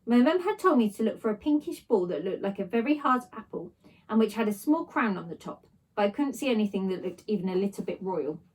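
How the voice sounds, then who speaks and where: A woman's voice: mid-range voice, animated, a little fast, husky, low-quality recording. A woman reading a children's story aloud expressively, narrating in a child's first person. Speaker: story reader, teacher.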